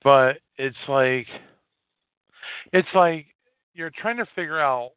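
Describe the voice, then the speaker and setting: A man hosting a solo podcast, giving opinionated commentary in halting, emphatic phrases with a lot of repetition. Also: fairly high pitch, smooth, dry recording. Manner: coarse, swearing. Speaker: podcast host. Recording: narrow phone-like line, dry.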